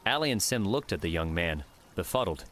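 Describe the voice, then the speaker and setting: A man narrates a scripted story as an audiobook reader. His voice is deep, and there is faint noise behind it. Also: big pitch swings, a little fast, fairly bright tone.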